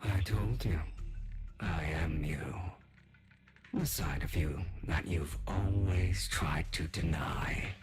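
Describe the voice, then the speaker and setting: A deep-voiced, sinister dark self talks slowly and smugly in a video game. The delivery is breathy and cold, full of menace, over faint music. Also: male voice. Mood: smug, menacing. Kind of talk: dramatic video game voice acting.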